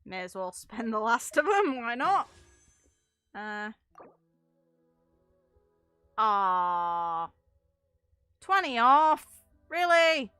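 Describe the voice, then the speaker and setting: A woman game streamer giving casual, chatty gameplay commentary, thinking aloud in an excitable way. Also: fairly high pitch, drawn-out words, long pauses, clear, resonant tone, no echo, faint music behind.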